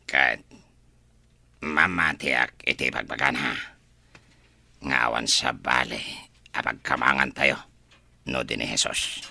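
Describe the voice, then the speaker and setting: An actor plays a bitter Ilocano convict in a radio drama. The voice is extremely low and creaky with a hard, forceful edge, gruff and curt. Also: male voice, fairly even intonation, takes it slowly, long pauses, no room sound, exaggerated voice. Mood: brooding. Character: bitter, brooding male prisoner.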